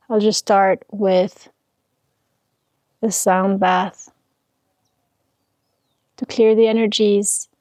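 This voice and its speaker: A woman guides a meditation at an extremely unhurried pace. She sets down short phrases deliberately and soothingly, leaving pauses between them. Her medium-pitched voice sounds close and dry, with no room echo. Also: warm tone. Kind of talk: guided meditation, spiritual talk.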